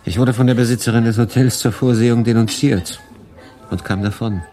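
An actor playing a wry, guarded refugee telling his story in a radio drama, in measured, laconic phrases. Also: medium pitch, fairly even intonation, warm tone, faint music behind, close to an everyday voice. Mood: reflective. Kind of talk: radio drama narration and dialogue.

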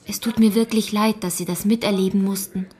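A woman's voice: medium pitch, steady tone, a little fast, a little mellow, close to an everyday voice. A young-sounding woman playing an earnest, loyal, politely stubborn teenage girl in a German radio drama.

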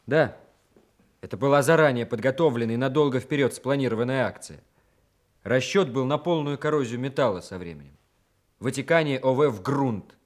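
An actor playing a serious, self-assured senior lieutenant sapper who explains matter-of-factly in a radio play. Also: male voice, medium pitch, fairly quick, lightly acted. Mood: grave, explaining. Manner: matter-of-fact, technical explaining. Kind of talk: Soviet radio play acting.

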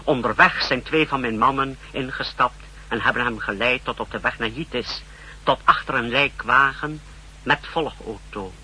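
Sharp, forceful spy-service subordinate. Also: male voice, mid-range voice, normal speed. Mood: brisk, formal. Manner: formal, deferential, clipped military reporting. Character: zealous male secret service subordinate reporting to his chief. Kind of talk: 1960s Dutch radio drama acting. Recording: faintly noisy 1960s radio recording.

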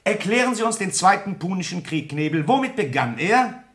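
Pedantic high-voiced history master. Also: male voice, very animated, moderate pace, fairly bright tone. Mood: solemn, formal. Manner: stilted, officious, precise wording. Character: pedantic, formal male history teacher examining a pupil. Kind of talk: German radio play acting.

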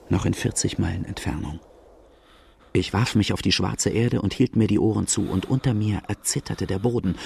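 An actor playing a brooding outlaw who gravely narrates his own life story in a radio drama. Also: low voice, a little mellow, a little hiss or hum. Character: brooding young male Irish-Australian outlaw telling his life.

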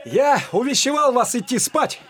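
An actor playing a rowdy, hot-blooded young Cossack village lad in a theatrical, declamatory audio drama style. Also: male voice, very high voice, lively intonation, moderate pace, fairly bright tone, faint music behind, full character voice. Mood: rousing, defiant.

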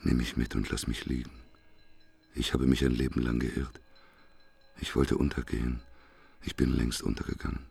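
An actor playing a despairing, bitter prophet with a hoarse voice in a German radio play. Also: male voice, a bass voice, flat delivery, moderate pace, pauses often, darkish tone, heavily acted. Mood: weary despair. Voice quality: hoarse, gravelly, rasping. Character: despairing old doomsday prophet, weary and bitter.

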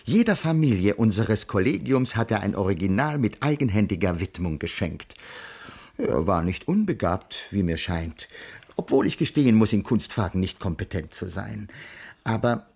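An actor playing a pedantic, hesitant school colleague with a formal, halting manner in a radio crime drama. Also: male voice, lowish voice, animated, gravelly, a little mellow, slightly rough, full character voice. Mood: reflective, formal. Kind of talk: 1980s German radio crime drama acting.

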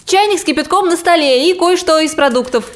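A young woman playing a fragile, caring twenty-year-old army nurse in an audio drama, with a gentle manner. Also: extremely high pitch, fairly quick. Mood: concerned. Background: faint music.

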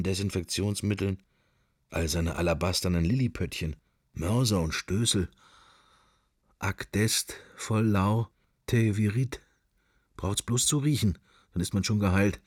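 A man's voice: low voice, dry recording, studio quality, exaggerated voice. An actor playing a middle-aged man musing wryly to himself in clipped, wandering thoughts in a literary radio play.

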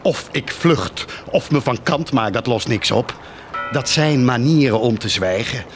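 An actor playing an anguished, guilt-ridden parish priest in a radio drama, solemn, halting and tormented. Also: male voice, medium pitch, lively intonation, faint music behind, close to an everyday voice. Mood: anguished, confessing.

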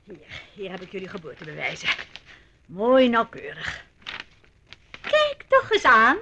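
An actress playing a brisk, eccentric older aristocratic aunt in a radio play, chatty, commanding and fondly scolding. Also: female voice, medium pitch, wildly swooping pitch, background noise. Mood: soothing, officious.

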